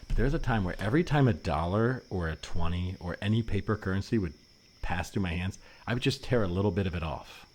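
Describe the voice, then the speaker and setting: A man improvising an earnest, suspicious former anarchist in a comedy podcast, explaining things in a halting, rambling way. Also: deep voice, lively intonation, darkish tone. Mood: earnest, conspiratorial.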